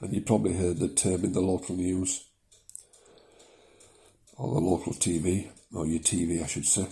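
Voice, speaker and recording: A man in his seventies from South Yorkshire with a softened Yorkshire accent, talking casually and hesitantly into his phone in his living room. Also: deep voice, steady tone, normal speed, vocal fry. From South Yorkshire, England. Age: 70s. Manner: rambling, hesitant, with many ums and small laughs. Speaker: vlogger. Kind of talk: casual personal vlog. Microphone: mobile phone.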